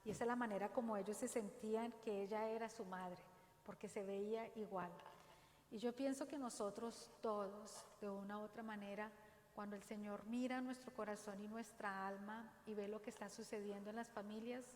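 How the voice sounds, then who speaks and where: A woman with a Dominican accent gives an earnest, exhorting faith talk in a church, speaking slowly and deliberately. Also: medium pitch, fairly even intonation, darkish tone, slight background noise. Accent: Dominican Spanish. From Dominican Republic. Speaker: parish speaker. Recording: roomy church echo, faint ambience.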